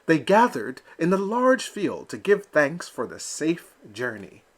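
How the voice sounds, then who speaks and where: A man reading a children's picture book aloud with slow, expressive storytelling. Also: fairly high pitch. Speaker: storybook reader. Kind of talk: children's read-aloud narration.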